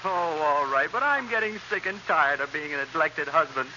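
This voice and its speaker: An actor playing a fussy, jealous househusband in 1930s radio comedy, plaintive and theatrical. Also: male voice, on the high side, expressive, moderate pace, lightly acted. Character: fussy, jealous, nagging househusband in a role-reversal sketch. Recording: dull, hissy 1930s radio recording.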